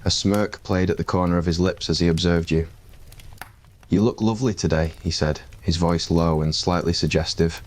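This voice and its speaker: Homme à la voix très grave lisant un récit ASMR. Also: male voice, low voice, little pitch movement, normal speed, few pauses. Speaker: narrator. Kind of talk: scripted ASMR story narration.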